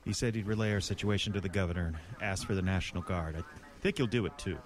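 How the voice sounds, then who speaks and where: A man acting as a small-town police chief in a radio horror play. His voice is on the low side, and he sounds wry, folksy and worn by pressure. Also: quick pace, lightly acted. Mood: guarded. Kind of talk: audio horror drama acting.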